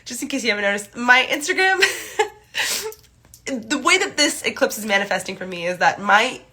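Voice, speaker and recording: A young woman speaks through a stuffy, congested nose, her voice bright and forward. She chats casually into a phone held at arm's length in a small room, leaning on "like" and "um" as she goes. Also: on the high side, lively intonation, normal speed, husky. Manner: chatty, many "like" and "um" fillers, greets viewers brightly. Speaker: astrology livestream host. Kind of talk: casual livestream chat. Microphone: phone camera at arm's length.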